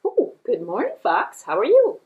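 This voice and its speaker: A woman acts out a nosy but polite country lady for a puppet show. Her voice is high and lilting, fluttery and hesitant, always eager to please and apologetic. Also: wildly swooping pitch, moderate pace, narrow, tinny audio. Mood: obliging.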